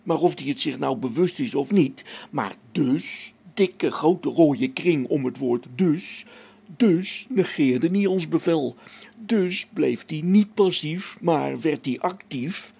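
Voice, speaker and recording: A man's voice: fairly high pitch, gravelly, darkish tone, husky, heavily acted. A man playing an irritable, sardonic space station engineer in a radio play, emphatic and impatient.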